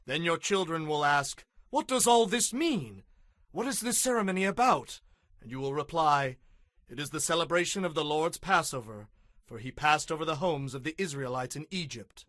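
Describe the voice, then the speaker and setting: An earnest, commanding leader of an ancient people in an audio scripture drama. The voice is fairly high and forceful, recorded very dry, and the delivery is solemn and emphatic as he instructs his followers. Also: lively intonation, moderate pace, dry recording. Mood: earnest, reverent.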